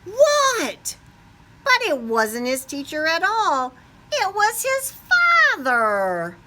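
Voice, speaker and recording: Animated, unhurried woman reading to children. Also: high-pitched. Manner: animated, asks the young listeners questions. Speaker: storyteller reading to young children. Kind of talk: expressive children's picture book read-aloud.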